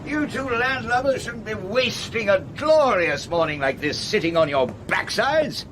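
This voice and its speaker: An actor playing a genial, theatrical English gentleman with a breezy sailor's heartiness in a radio drama. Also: high-pitched, lively intonation, a little slow. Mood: hearty. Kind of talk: British radio drama acting. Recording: noisy radio play recording.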